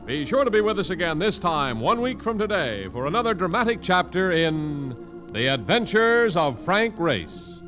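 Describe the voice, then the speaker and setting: Animated male radio announcer over organ. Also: high voice, moderate pace. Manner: polished, formal announcer delivery. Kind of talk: 1940s radio show sign-off, scripted. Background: loud organ music.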